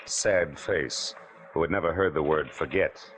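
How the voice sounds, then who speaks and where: Tough gumshoe lead and narrator, deep, wry, clipped phrasing; vintage radio crime play. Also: male voice, unhurried, noisy background. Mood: terse, hardboiled. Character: tough, wry male private detective. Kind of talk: hardboiled first-person radio narration and acting. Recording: old radio recording with music.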